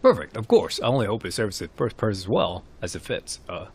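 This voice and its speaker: A man's voice: lowish voice, sing-song, brisk, slightly rough, heavily acted. A man voicing a polite, apologetic shrine keeper in narrated fantasy game dialogue, speaking formally and a little hesitantly. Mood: polite, explaining. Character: courteous, apologetic male mask keeper at a forest shrine.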